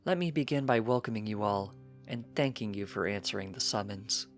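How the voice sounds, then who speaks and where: A man voicing a gracious, gently amused pony princess in a storybook, calm, formal and measured. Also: on the low side, soft background music, natural voice.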